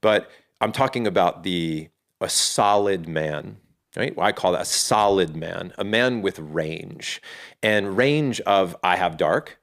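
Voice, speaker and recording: A man's voice: deep voice, takes it slowly, fairly bright tone. A middle-aged man speaking as a podcast guest, earnest and reflective, often restarting his sentences as he thinks aloud. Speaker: podcast guest, fatherhood community founder. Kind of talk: casual interview talk.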